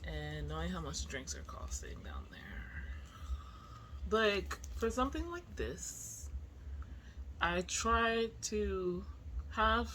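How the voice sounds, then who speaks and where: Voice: medium pitch, a bit bright, poor audio. A middle-aged vlogger talking casually and candidly in a chatty, informal video diary. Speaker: book vlogger. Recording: clear background noise, room ambience.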